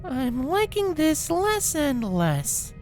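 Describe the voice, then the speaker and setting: Woman voicing a high, flustered, exasperated unicorn pony. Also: faint music behind, full character voice. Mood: uneasy. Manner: flustered, muttering to herself, drawn-out expressive delivery. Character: exasperated scheming young female unicorn pony trapped in castle. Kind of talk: acted storybook dialogue in a fan fiction reading.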